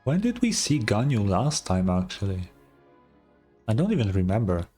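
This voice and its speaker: Husky mid-low streamer, casual and wry. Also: big pitch swings, moderate pace, mellow. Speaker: streamer reacting to a video game. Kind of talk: casual live gameplay commentary. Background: game music.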